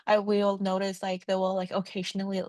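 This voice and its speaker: A woman's voice: medium pitch, monotone, a little fast, a little mellow, no echo, clean studio sound. A woman interviewing casually, with an informal, tentative delivery peppered with the word "like". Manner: informal, tentative, very frequent "like" as filler.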